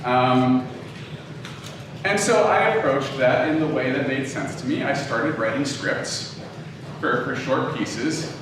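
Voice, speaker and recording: A man giving an informal lecture to an audience, speaking in a hesitant, halting, low-key way. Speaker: guest lecturer, audio drama producer. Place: echoey room, live talk before an audience. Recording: echoey room, distant, clearly noisy background.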